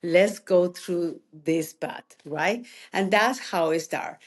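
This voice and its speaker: Colombian-accented businesswoman, lively guest. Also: fairly low pitch, takes it slowly. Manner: energetic, talks in bursts with non-native phrasing. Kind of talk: interview answers.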